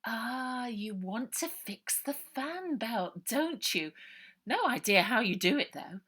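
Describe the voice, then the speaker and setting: A woman voicing a bossy, cheerful working-class girl from a gang in a children's storybook, brisk and colloquial. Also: fairly high pitch, expressive, fairly bright tone, husky, pitched above the performer's own voice, full character voice. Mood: brisk, friendly.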